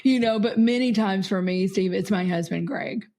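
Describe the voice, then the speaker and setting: A woman's voice: mid-range voice, moderate pace. A middle-aged woman as an interview guest, talking earnestly with frequent fillers and easy laughter. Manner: warm, earnest, laughs easily, many fillers. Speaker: podcast guest, company CEO. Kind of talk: conversational interview.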